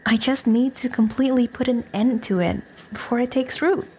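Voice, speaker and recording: A woman's voice: fairly high pitch, fairly even intonation, moderate pace, darkish tone, a little hiss or hum, close to an everyday voice. A woman playing a flustered, smitten young woman in an audio drama, hesitant and earnest, apologetic and self-deprecating. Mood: anxious, confessing. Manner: hesitant, nervous, earnest confession. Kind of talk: audio drama acting, naturalistic dialogue.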